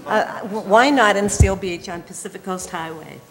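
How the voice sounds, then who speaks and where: A woman speaking up at a public meeting, blunt and indignant, with a loud voice. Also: mid-range voice, moderate pace, very noisy. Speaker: resident making a public comment. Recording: reverberant meeting hall.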